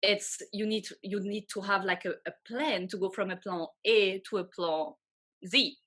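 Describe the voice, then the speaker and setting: A woman's voice: medium pitch, steady tone, fairly bright tone. A pregnant woman in her thirties from Belgium with a French accent, earnestly explaining finance in a casual interview. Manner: quick, earnest, restarts sentences. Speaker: guest, financial advisor.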